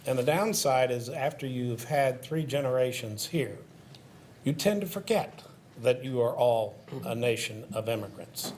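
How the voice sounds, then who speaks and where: An older man talks on a discussion panel at a middling pitch. He explains in a measured, thoughtful way, building his points like a lecturer. A faint noise sits under the recording. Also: expressive, a little mellow. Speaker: panel guest.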